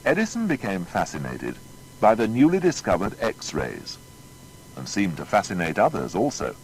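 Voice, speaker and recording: Deep-voiced male documentary narrator. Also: wildly swooping pitch, slight background noise, low-quality recording. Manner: measured, storytelling, formal.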